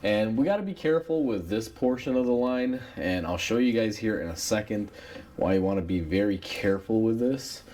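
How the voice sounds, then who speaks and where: A man with a cold and a raspy voice, talking casually through a repair step by step with plenty of fillers. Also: on the low side, normal speed. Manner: relaxed, rambling with many uh fillers. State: has a cold. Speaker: mechanic demonstrating a repair. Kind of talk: casual how-to tutorial narration.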